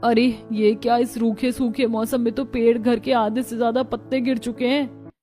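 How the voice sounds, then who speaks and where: Woman acting plaintive high-voiced young wife. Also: level tone, moderate pace, mellow, lightly acted. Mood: alarmed. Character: worried daughters-in-law of struggling cartoon family. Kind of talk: cartoon family melodrama dialogue. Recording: music bed behind the voice.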